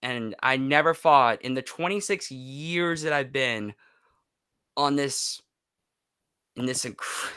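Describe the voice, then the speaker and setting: Bright, clear-voiced young man speaking emotionally. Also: medium pitch, slow pace, pauses often, no echo, studio quality. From United States. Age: 20s. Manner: emotional, emphatic, repeats himself for stress. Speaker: podcast guest, YouTuber and voice actor. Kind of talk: candid personal interview chat.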